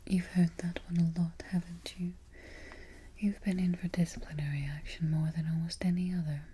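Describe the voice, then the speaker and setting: A woman playing a haughty evil queen in a soft-spoken ASMR roleplay, breathy and whispery, giving petty orders with imperious, mock-sweet deliberation. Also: on the low side, level tone, moderate pace, slight background noise, natural voice. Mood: coolly menacing. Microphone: close ASMR microphone.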